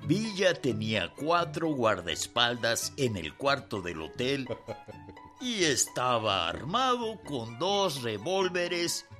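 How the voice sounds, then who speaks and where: A revolutionary's teasing companion. The pitch swings about a lot at an unhurried pace, in radio drama style over faint music. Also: male voice, big pitch swings, sharp tone, heavily acted. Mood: amused, mocking. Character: amused male companion of a revolutionary, reading newspaper aloud.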